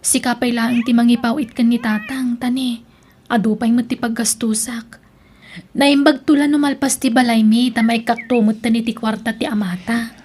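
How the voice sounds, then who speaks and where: A woman plays a young Filipina little sister in a radio drama, devoted and quick to defend herself. Her voice is fairly high, over faint background noise. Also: flat delivery, darkish tone, natural voice. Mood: apologetic. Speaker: actor in audio drama. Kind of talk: Ilocano radio drama acting.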